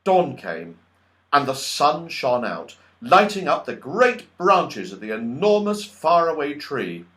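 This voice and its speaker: A man narrating a children's audiobook in an expressive, dramatic and emphatic storytelling style. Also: fairly high pitch, takes it slowly.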